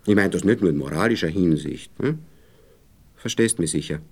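A man's voice: low-pitched, expressive, normal speed. An actor playing an evasive, condescending Viennese man in a radio play, cajoling and patronising in a hesitant way, with a Viennese accent. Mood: earnest, hesitant. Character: evasive, condescending married Viennese man courting a young woman. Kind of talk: naturalistic radio play dialogue.

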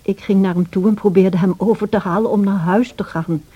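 A woman playing a sorrowful, gentle servant who narrates past events in a radio play in a measured way. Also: mid-range voice, fairly even intonation, breathy edge, lightly acted. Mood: solemn, tender. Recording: dull old radio play recording.

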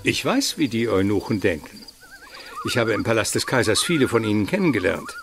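A man's voice: on the low side, very animated, a little fast, background noise. An older actor playing an experienced, reflective courtly man in a radio play, thoughtful and knowing. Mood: knowing, musing. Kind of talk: German radio play dialogue.

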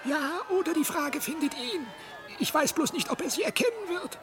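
A high, squeaky alien creature for radio comedy, scheming and easily exasperated. The voice swoops theatrically as it lectures, condescending and excitable. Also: normal speed. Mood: agitated. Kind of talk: German radio comedy acting.

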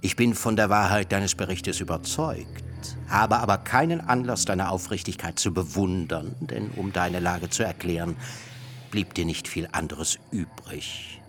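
An actor playing a stern, hard-bargaining middle-aged uncle and master, pedantic and scornful, in a literary radio drama. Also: male voice, deep voice. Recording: music behind the voice.

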